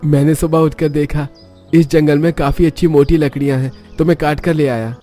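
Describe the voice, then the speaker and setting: A man's voice: on the high side, fairly even intonation, moderate pace, warm tone, faint music behind, pitched above the performer's own voice, natural voice. A man voicing an eager, handy young family man in a cartoon story. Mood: matter-of-fact.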